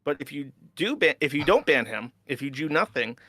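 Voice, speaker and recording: A man's voice: a little high, big pitch swings, a little fast, pushed and bright, dry recording. A man earnestly arguing a point in conversation, often restarting and repeating words. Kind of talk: argumentative conversation.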